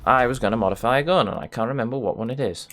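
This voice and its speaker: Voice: medium pitch, rapid-fire. A video game streamer giving casual, sluggish commentary, muttering to themselves with playful mock-formal turns of phrase. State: sluggish, not fully awake.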